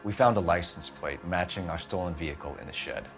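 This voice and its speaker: A man's voice: low voice, a bit bright. A man playing a hard-nosed police detective in a crime game, pressing his point sternly. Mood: threatening. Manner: stern, pressuring, deliberate emphasis. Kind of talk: dramatic game acting. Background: background music.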